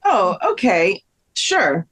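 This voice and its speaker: A woman plays a female tour guide in a scripted read. She is polite and explanatory, with very animated intonation. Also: mid-range voice, extremely slow, breathy edge, exaggerated voice. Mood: explaining. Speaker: actor in a table read.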